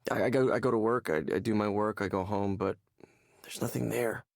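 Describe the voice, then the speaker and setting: An actor playing a despairing, hollow man in a visual novel game, speaking haltingly and repeating words as he searches for them. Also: fairly low pitch, steady tone, vocal fry, no echo, studio quality. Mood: hollow, dejected. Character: despairing, hollow man confiding in a counseling session.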